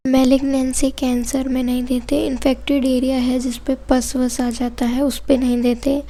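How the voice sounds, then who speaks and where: A woman's voice: high-pitched, little pitch movement, normal speed, mellow. A woman with an Indian accent giving an explanatory lesson as a lecturer, going simply point by point and mixing in English technical terms. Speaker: physiotherapy lecturer.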